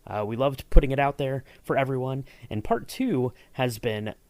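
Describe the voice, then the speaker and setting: A man's voice: medium pitch, moderate pace, darkish tone, no room sound. Man, podcast host, upbeat, lots of fillers, dry recording. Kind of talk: casual podcast interview.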